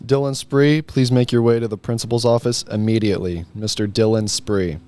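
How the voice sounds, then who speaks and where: A man's voice: medium pitch, steady tone, a little fast, warm tone, lightly acted. A teenage young man playing an insistent, officious principal's assistant, formally summoning someone in an amateur comedy read. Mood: loud, insistent.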